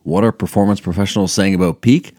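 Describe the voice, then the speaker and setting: A man's voice: low-pitched, fast, dark tone, no room sound, clean studio sound. A man reading scripted promotional narration as an announcer. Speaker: advertisement announcer.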